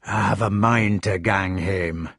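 A man's voice: sits low, fairly even intonation, slow, fairly bright tone, dry recording, clean studio sound, full character voice. A man voicing a wounded, tearful Scottish knight who speaks in strained, despairing tones. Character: wounded, weeping burly male Scottish knight.

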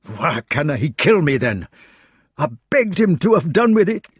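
A wounded Scottish knight full of despair, as a male narrator plays him, his voice raised and strained with tears. Husky and lively in pitch, it sits close and dry. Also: normal speed, dry recording.

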